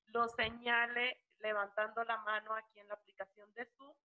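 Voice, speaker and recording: A woman's voice: a little high, level tone, normal speed. Polite woman moderator on a tinny line. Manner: polite and formal, with filler words. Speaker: webinar moderator. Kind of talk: formal meeting introduction. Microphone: video call. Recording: tinny phone-like video call audio.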